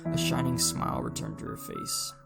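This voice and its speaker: A man with a creaky, gravelly voice reading a visual novel aloud as a game streamer, with casual, sweary asides. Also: mid-range voice, huge pitch swings, moderate pace. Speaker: gaming streamer doing a longplay. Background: game music.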